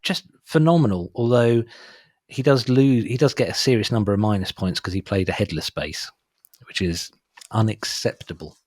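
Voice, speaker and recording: Middle-aged male podcast host, husky and fairly low, dry close sound, wry and offhand. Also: normal speed, darkish tone, dry recording. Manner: wry, dismissive asides on cover songs. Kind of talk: casual chat.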